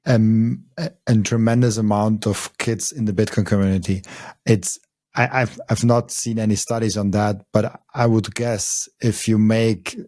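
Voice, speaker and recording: A man hosting a podcast in a friendly, halting way, with many fillers and stumbles. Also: lowish voice, a little mellow, dry recording, studio quality. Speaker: podcast host. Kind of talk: casual interview talk.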